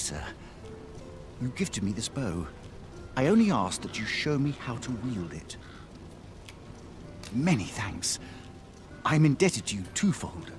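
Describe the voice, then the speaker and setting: An actor playing a polite, earnest young elf archer who speaks in formal archaic phrases in a fantasy game. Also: male voice, on the low side. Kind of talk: scripted fantasy game dialogue.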